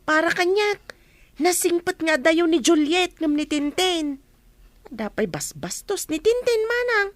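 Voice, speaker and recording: A woman's voice: extremely high pitch, sing-song, measured pace, full character voice. An actress playing an earnest, insistent gossiping village neighbour woman in a radio drama. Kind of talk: radio drama acting in Ilocano.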